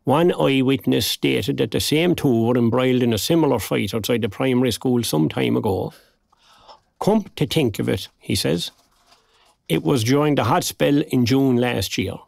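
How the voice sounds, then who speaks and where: A man's voice: mid-range voice, moderate pace, creaky, a little mellow, no echo, clean studio sound. An older man with an Irish accent playing a good-humoured retiree in an audio drama, talking in a wry, colloquial way. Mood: amused gossip. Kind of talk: naturalistic audio drama dialogue.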